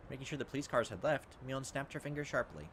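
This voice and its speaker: A man's voice: medium pitch, expressive, fast, slight background noise. A man hosting a let's play, reading game text aloud and adding casual, wry asides. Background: game music.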